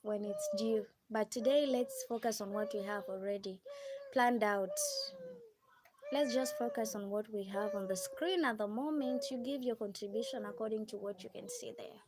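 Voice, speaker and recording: A woman's voice: medium pitch, moderate pace, noisy background. A woman from Kenya with a Kenyan accent, hosting a casual live chat, reading out viewer comments and repeating phrases for emphasis. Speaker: livestream host.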